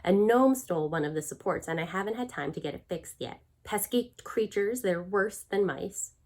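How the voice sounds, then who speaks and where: A woman voicing a brisk, bossy, practical witch from a storybook, firm and no-nonsense. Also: mid-range voice, expressive, darkish tone, natural voice.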